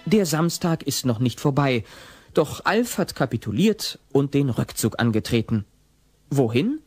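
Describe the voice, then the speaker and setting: A man's voice: mid-range voice, big pitch swings, a little slow. A man narrating a scripted comedy audio play as its storyteller.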